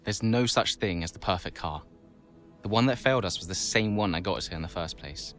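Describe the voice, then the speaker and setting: A man playing a reflective, humble racing driver in a game's post-race interview, measured and understated. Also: low voice, a bit bright, faint music behind.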